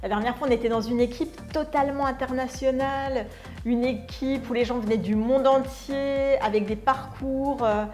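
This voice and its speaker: A woman's voice: sits high, steady tone, normal speed, darkish tone, faint music behind. A middle-aged woman co-hosting a conversational interview, reflective and searching for her words. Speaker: co-host, artistic director.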